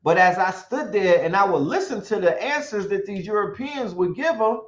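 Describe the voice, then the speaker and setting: A man's voice: high voice, animated, moderate pace. An emphatic man delivers a monologue, hammering his points with rhetorical questions and now and then reading from notes. His voice sits in an echoey room, and the recording sounds dull and lo-fi. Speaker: commentator.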